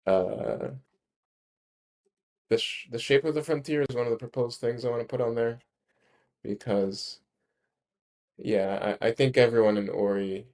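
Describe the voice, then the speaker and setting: A man explaining his ideas in a casual discussion, halting and rephrasing emphatically as he goes. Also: mid-range voice, darkish tone. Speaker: speaker explaining a research ranking system.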